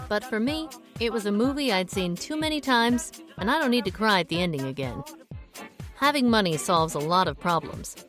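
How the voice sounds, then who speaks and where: A woman's voice: mid-range voice, a bit bright. An actress playing a shrewd, world-weary 1920s woman sleuth in an audio drama, knowing and matter-of-fact. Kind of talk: audio drama dialogue and first-person narration.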